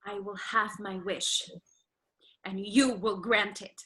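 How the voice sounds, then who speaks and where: An actress playing a spirited, stubborn, playful young daughter in a dramatic play reading, breezy and theatrical. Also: female voice, medium pitch, fairly even intonation, slow, a bit bright, low-quality recording. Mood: defiant.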